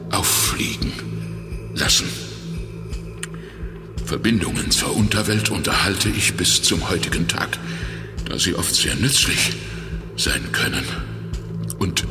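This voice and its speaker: An actor playing a weakened, injured ex-crook turned private detective in a children's audio drama, confessing in a halting, strained and determined way. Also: male voice, sits low. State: weak and exhausted from injury. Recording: echoey reverberant hall, music underneath.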